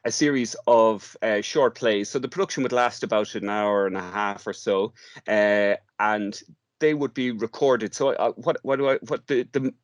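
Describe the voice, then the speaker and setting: Low-voiced man, hesitant speaker. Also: steady tone, normal speed, no room sound, studio quality. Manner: hesitant, thinking aloud in fragments. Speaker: theatre director, interview guest. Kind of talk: informal interview, explaining his work.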